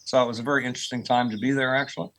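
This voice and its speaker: A man's voice: medium pitch, steady tone, rapid-fire, a bit bright. An American man in his sixties answering interview questions as an academic guest, explaining things in an informal, conversational way. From United States, rural Oklahoma. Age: 60s. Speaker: guest, earth sciences professor.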